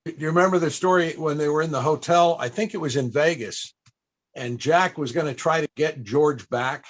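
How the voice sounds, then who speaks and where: A man's voice: fairly high pitch, moderate pace. A man hosting a panel as interviewer, speaking conversationally and telling stories.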